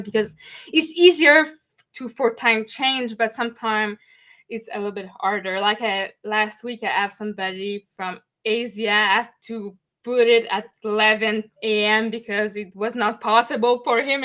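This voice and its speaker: A woman's voice: a little high, pushed and bright. A woman from Montreal with a Québécois French accent, French her first language, hosting a live stream and greeting viewers while she searches for words now and then. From Montreal, Canada. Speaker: host.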